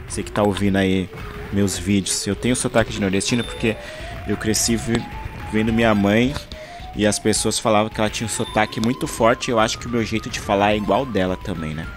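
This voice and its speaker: A man talking casually over his gameplay footage, rambling and searching for words, from Brazil. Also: lowish voice. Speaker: gaming channel host.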